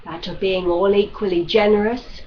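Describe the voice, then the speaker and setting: A woman reading a classic novel as scripted narration, with measured, deliberate phrasing, like an audiobook reader. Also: medium pitch, fairly even intonation. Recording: dull lo-fi audio with background noise.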